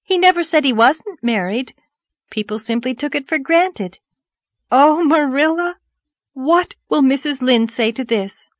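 A woman voicing a sweet, excitable young schoolteacher in an audiobook story, earnest and given to breathless exclamations. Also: a little high, measured pace, dry recording, highs cut off, voice pushed up. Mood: excited. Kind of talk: audiobook character voice.

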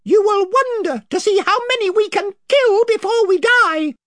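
A man's voice: animated, normal speed, sharp tone, no echo, studio quality, heavily acted. A man voicing a proud, valiant little male mouse knight who speaks in a bold, formal manner. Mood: fierce. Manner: bold, formal, high-flown. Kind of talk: audiobook character voice.

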